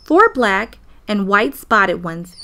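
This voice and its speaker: A woman's voice: medium pitch, very animated, takes it slowly, sharp tone, heavily acted. A woman playing a grown-up narrator nostalgically recalling childhood in a radio play. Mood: nostalgic. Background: music.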